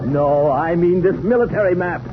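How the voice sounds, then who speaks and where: An actor playing an earnest army general in 1930s radio comedy, speaking in a formal, orderly, polite way. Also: male voice, high-pitched, moderate pace, natural voice. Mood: businesslike. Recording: tinny, noisy 1930s radio recording.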